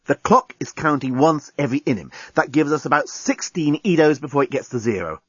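Alien newsman for a storybook, male reader acting: excitable, stammering, earnest, high and lively, husky edge. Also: a little fast, no echo, dull audio. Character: flustered, excitable male alien journalist, apologetic. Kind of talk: storybook audiobook character voice.

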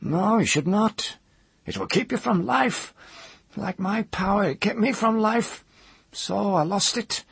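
Storybook role: male reader as a bitter, unhinged old crone, very high-pitched and badly rasping, archaic and intense. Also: unhurried, fairly bright tone, no echo, exaggerated voice. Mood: desolate, raving. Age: older. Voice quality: hoarse, screeching. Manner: archaic, rambling, intense speech. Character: grey-haired, half-mad, bitter old woman, cursing and desolate.

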